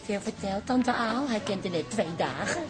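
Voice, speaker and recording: An actress playing a bossy, suspicious and jealous grown daughter in a radio play. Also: female voice, medium pitch, lively intonation, normal speed, natural voice. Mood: resentful.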